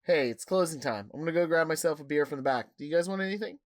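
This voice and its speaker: A man's voice: a little high, fast, lightly acted. A man playing a joking male bar worker who teases his friends. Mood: teasing. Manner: teasing, jokey. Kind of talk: fan audio drama.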